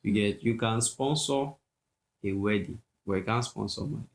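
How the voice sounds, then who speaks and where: A man's voice: lowish voice, moderate pace. A man, a pastor talking casually on a podcast, repeating his points and often asking "you get?".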